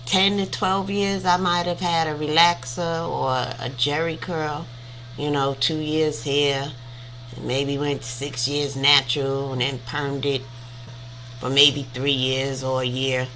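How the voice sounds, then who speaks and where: A woman's voice: sits low, pushed and bright, slight background noise, low-quality recording. A woman in her fifties hosting a casual livestream chat, rambling and chatty as she thanks viewers by name. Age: 50s. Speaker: livestream host.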